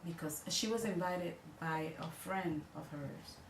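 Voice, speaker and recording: Low-voiced Dominican woman, distant and echoey. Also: creaky. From Dominican Republic. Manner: halting, searching for words and repeating herself. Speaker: interviewee. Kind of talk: recounting family history. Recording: echoey room, voice off-mic with faint noise.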